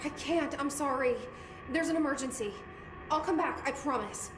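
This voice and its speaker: An actress playing a determined, level-headed young heroine in a video game, speaking in a direct way. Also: female voice, sits high, moderate pace, background noise, lightly acted. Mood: concerned, steady. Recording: echoey space with music behind.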